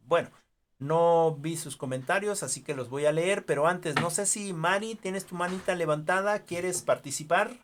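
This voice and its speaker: A teacher with a Mexican accent lectures to an online class through a video-call mic. The delivery is hesitant and full of filler sounds, and statements keep ending in quick tag questions. The pitch sits in a middle range.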